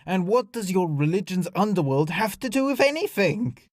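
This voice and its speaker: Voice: medium pitch, animated, moderate pace, gravelly, dark tone, dry recording, studio quality. A performer voicing a haughty, irritable male alien scientist in an audio story, with a sneering, indignant, emphatic delivery. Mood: irritated.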